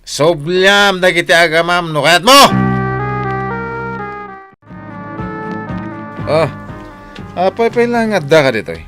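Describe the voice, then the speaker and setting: A man's voice: high-pitched, lively intonation, moderate pace, music in the background. A man with an Ilocano accent, acting in a radio drama as an angry husband who later scolds as a stern father. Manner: loud, scolding, mocking laughs.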